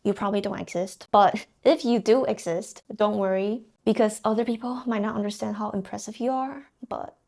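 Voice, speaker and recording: Woman with a gravelly, creaky voice. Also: mid-range voice, steady tone, normal speed, few pauses, dark tone. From China. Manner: conversational with playful asides. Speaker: YouTube host explaining culture. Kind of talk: scripted explainer to camera.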